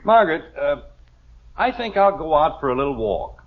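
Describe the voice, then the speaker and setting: A man's voice: fairly high pitch, big pitch swings, moderate pace. An actor playing a middle-aged American husband and father, hesitant, stammering and blustering, in an old-time radio comedy. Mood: casually evasive. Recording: muffled, noisy vintage radio recording.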